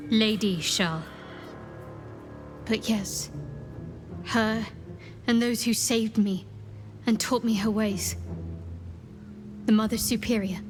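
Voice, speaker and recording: Game companion role: young female cleric of a dark goddess, guarded and wry, unhurried phrasing, highish pitch, score underneath. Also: fairly even intonation. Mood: wistful. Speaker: video game companion character. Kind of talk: video game cutscene acting. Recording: music behind the voice.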